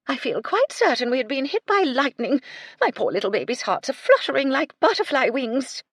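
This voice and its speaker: A woman's voice: high-pitched, big pitch swings, moderate pace, husky, dry recording, clean studio sound, voice pushed up, exaggerated voice. A woman voicing an eccentric elderly dowager who fusses over imaginary pet birds, in a haughty, fluttery and plaintive way. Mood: aghast.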